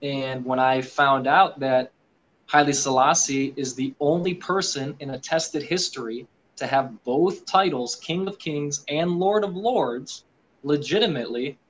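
Man guest on a call, earnest explainer, steady mid pitch, faint room hum. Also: poor audio. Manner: earnest, explanatory, quick with many fillers. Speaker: guest on a live video call. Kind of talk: casual discussion.

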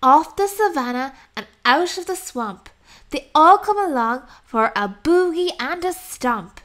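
A woman narrates a rhyming picture book for children. Her voice is high and very animated, and she takes her time, leaning on key words for dramatic effect. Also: measured pace. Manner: expressive, dramatic emphasis. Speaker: storybook narrator.

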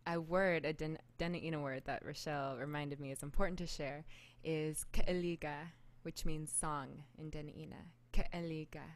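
Woman, twenties, lowish voice, casual musical guest, close studio mic, living room. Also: fairly even intonation, moderate pace, few pauses. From Anchorage, Alaska. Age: 20s. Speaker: guest singer. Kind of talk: casual introduction of a live music set. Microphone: studio mic on a boom arm, close.

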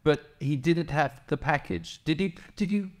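A man's voice: a little high, unhurried. A man hosting a casual, conversational interview. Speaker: interview host.

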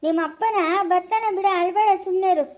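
A woman's voice: very high voice, fast talker, noisy background. A woman or girl voicing a fussy, hard-of-hearing old grandmother who frets and calls out. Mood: fussing. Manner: fretful, scolding, calling out loudly.

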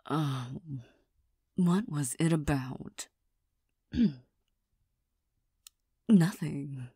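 A woman voicing a proud, touchy young girlfriend who hides her tenderness, speaking in a soft half-whisper with stammers and nervous laughs into a close mic. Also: low voice, extremely slow, husky, no room sound, exaggerated voice. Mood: vulnerable, defensive. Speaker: voice actor in a listener roleplay. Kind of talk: whispered girlfriend comfort roleplay.

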